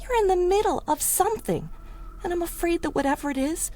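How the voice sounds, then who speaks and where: An actress playing a wry, loyal, worried woman in a 1930s-style adventure radio drama, with slangy, expressive delivery. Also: extremely high pitch, brisk. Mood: frightened, urgent.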